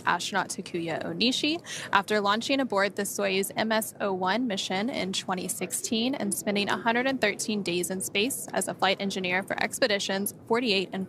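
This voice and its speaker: A woman explains a space mission as a live broadcast commentator. Her pitch is medium, and a light layer of noise sits under her voice. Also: fairly bright tone. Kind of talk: explanatory live commentary, partly read from notes.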